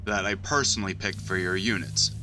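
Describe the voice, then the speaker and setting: A man playing a gruff, matter-of-fact navy engineer in an audio drama. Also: deep voice, a little fast, lightly acted. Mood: brisk, wry. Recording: clear background noise and ambience.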